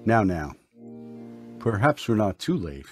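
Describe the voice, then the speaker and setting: An actor playing a kindly, serene doctor in an old-time radio drama, speaking in an earnest, formal, uplifting way. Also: male voice, fairly low pitch, very animated, slow, faint music behind. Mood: gentle, hopeful. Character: kindly, serene, mysterious male hospital doctor.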